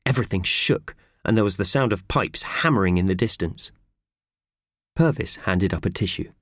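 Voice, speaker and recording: A man narrating a children's audiobook in an expressive, scripted storytelling style. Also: fairly low pitch, normal speed, no echo. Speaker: audiobook reader.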